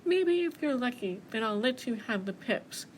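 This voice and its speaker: A woman voicing a small, sweet, timid but clever female mouse in a children's storybook, squeaky and coaxing. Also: expressive, moderate pace, a little hiss or hum, natural voice, rough recording. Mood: pleading.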